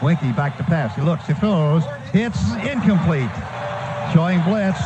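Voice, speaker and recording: A man with a high-set voice calls a football game live on the radio. He describes the action in short, clipped phrases as it happens. The broadcast has a clearly noisy stadium sound. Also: lively intonation, unhurried, highs cut off. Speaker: radio play-by-play announcer.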